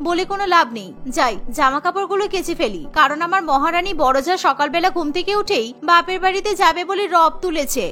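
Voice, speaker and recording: A young cartoon daughter-in-law played by a woman, full of sarcastic complaint. Her voice is extremely high and bright, with music underneath. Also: animated, fairly quick, hardly any pauses, full character voice. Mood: grumbling. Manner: grumbling, sarcastic.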